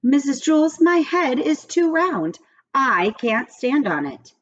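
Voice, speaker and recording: A woman acts out an excitable little schoolboy from a storybook, pitched well up high. He is earnest and exclamatory, a bit whiny. The recording is slightly dull. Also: slow pace, exaggerated voice. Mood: whiny, protesting.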